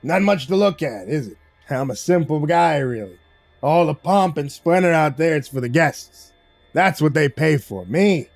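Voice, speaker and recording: A man's voice: fairly high pitch, animated, normal speed, no echo, soft background music. A man voicing a genial, cocky mob boss with chatty, slangy, expansive talk in a narrated story.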